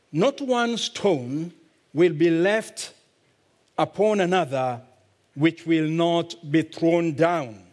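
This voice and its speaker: A man with a Kenyan accent, a visiting pastor preaching a sermon slowly and deliberately, drawing out words for emphasis. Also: a little high, darkish tone.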